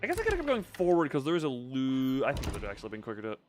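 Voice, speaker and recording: A man's voice: medium pitch, wildly swooping pitch, rapid-fire, faint music behind. A man giving casual live game commentary, thinking aloud with playful, quirky turns of phrase. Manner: playful, quirky word choices. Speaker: video game streamer.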